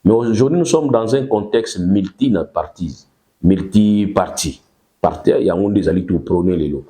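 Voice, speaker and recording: Congolese-accented man, political talk guest, mellow and dark, long explanations, mixes French and Lingala. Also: low-pitched, expressive, moderate pace. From Congo-Kinshasa. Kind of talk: political talk show discussion.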